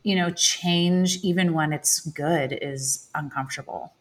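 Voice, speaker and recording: A woman's voice: low voice, a little slow. A woman, an actress, being interviewed, speaking reflectively, often searching for words. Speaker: guest, stage actress. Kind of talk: conversational interview.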